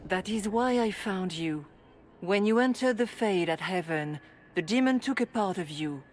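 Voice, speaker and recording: A woman playing a serene spirit in the guise of a holy leader, speaking in a measured, formal way for a video game. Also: mid-range voice, lively intonation, natural voice. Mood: solemn, calm.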